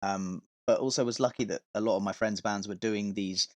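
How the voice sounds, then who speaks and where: A man with a fairly low-pitched voice talks as a guest on a podcast. He tells stories in a loose, rambling, informal style with some swearing. The sound is dry, with very little room on it. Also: steady tone, fairly quick, no echo. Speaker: podcast guest, touring metal vocalist and tour manager. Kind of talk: casual podcast chat, telling stories from touring.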